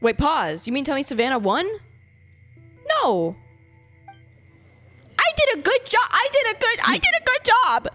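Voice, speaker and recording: A woman's voice: sits high, very animated, a little slow, pauses often, sharp tone, no echo. A woman livestreamer giving casual, dramatic, exclamatory and sweary reactions to a game. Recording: game music behind voice. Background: game music.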